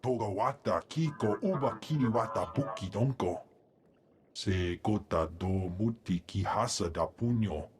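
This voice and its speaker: An actor playing an alien in a video game, speaking clipped strings of an invented tongue. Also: male voice, lowish voice, a little slow, darkish tone, natural voice. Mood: solemn, alien. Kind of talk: video game creature acting. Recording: faint noisy game ambience.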